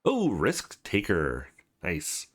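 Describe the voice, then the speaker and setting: A man hosting a let's play, reading game script aloud in an expressive, sing-song way and adding brief reactions. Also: low voice, very slow, breathy edge. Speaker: let's play host reading visual novel dialogue aloud.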